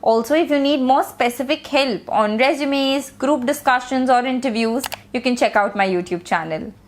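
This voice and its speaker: A young woman whose first language is Hindi, giving steady, clearly spoken communication tips as a coach. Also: high-pitched, moderate pace. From India. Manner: steady, instructive, clearly enunciated. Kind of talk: scripted advice talk to camera.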